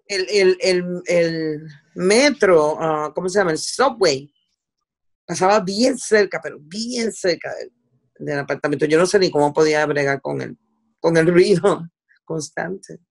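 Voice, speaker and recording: A woman's voice: on the low side, a little slow, gravelly. A middle-aged Puerto Rican woman talking conversationally in an interview, repeating words for emphasis and dropping English words into her Spanish. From Puerto Rico. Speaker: interviewee, veteran television journalist.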